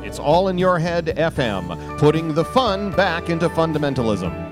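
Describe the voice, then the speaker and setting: A man hosting a radio show, reading scripted satirical narration in a measured, mock-serious announcer's delivery. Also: a little high, very animated. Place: live stage radio broadcast. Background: music.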